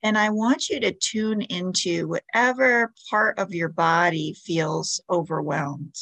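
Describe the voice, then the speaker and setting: A woman leading a guided meditation as a podcast host, giving soothing, gentle instructions with long pauses. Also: lowish voice, dry recording.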